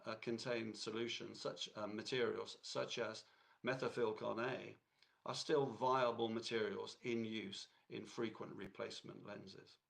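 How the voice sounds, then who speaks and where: A man with a cold, hoarse, giving a technical lecture in a halting way with many fillers and restarts. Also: on the low side, fairly even intonation, a bit bright.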